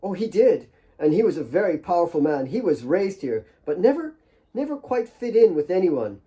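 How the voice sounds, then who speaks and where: Audiobook role: scheming tipsy young woman, performed by a man, lifted pitch, feigned distress, stammering delivery. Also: very animated, moderate pace, warm tone, dull audio. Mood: feigned sorrow. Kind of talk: audiobook character voice.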